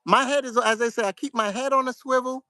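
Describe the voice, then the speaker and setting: A middle-aged man from New York with a New York accent, giving casual, soft-spoken advice to his viewers in a fairly flat, monotone way. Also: very high-pitched, quick pace, no room sound, studio quality. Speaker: vlogger.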